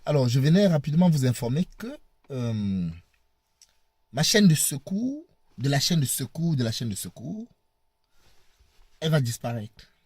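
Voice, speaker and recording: Man, hoarse from a cold, slow halting vlog delivery, animated pitch. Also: no room sound. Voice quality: husky. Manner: halting, repeats words, laughs often. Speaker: video blogger. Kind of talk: casual vlog to viewers. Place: in a parked car.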